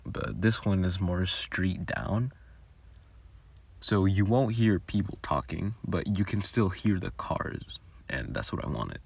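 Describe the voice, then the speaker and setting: A man's voice: sits low, animated, takes it slowly, creaky, slightly rough, dry recording. A man giving casual behind-the-scenes commentary on his own audio work in a rambling, informal way. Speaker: audio roleplay creator.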